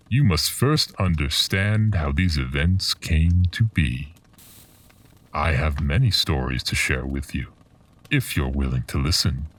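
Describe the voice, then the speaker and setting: A man acting a mysterious, ominous storyteller in a horror radio drama, speaking in a portentous, deliberate, taunting way. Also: a bass voice, recorded from a distance, heavily acted. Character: mysterious, ominous male storyteller collecting dark legends. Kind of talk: slow theatrical horror radio drama narration.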